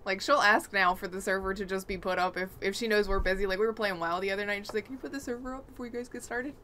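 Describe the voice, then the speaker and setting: A woman's voice: mid-range voice, fairly even intonation, racing, bright, forceful tone, a little hiss or hum. A woman co-hosting a podcast, chatting casually about games. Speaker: podcast co-host. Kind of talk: casual gaming chat.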